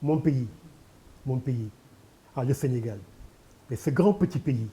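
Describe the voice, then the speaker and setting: A man's voice: mid-range voice, unhurried, dark tone, slight background noise. An older Senegalese man, a poet speaking French as a second language to Pulaar, answering in an interview with emphatic, repeated phrases. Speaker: poet and candidate guest. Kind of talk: televised interview.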